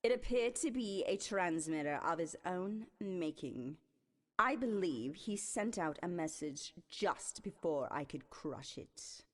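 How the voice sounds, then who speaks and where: A sinister queen acted by a woman devoted to her king speaks in a lowish voice. She is formal and very deliberate, savoring each phrase in audio-drama style. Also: expressive. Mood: sly. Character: devoted villainess queen, undead-commanding, smoothly sinister.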